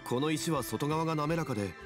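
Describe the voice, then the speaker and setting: A man voicing a calm, erudite gentleman consultant in an anime game, speaking in a measured, formal and authoritative way. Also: a little high, lightly acted. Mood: explaining, dignified. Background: game music.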